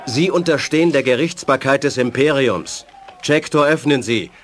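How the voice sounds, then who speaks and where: A man's voice: mid-range voice, normal speed, close to an everyday voice. A man playing a stern agent posing as an imperial officer in a science fiction radio drama, with a commanding, formal manner.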